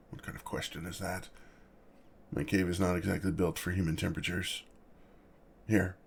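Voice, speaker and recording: Man voicing a very deep, gravelly, awkward gentle dragon. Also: full character voice. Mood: gentle, welcoming. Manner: halting, flustered, self-correcting, measured. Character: awkward, apologetic, gentle male dragon who loves treasure. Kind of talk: ASMR roleplay.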